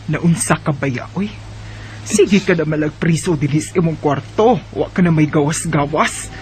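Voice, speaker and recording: A man's voice: on the high side, normal speed, a little mellow, slight background noise, close to an everyday voice. A man voicing a scolding but caring mother in a Bisaya radio drama, with a Filipino Bisaya accent. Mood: nagging, concerned.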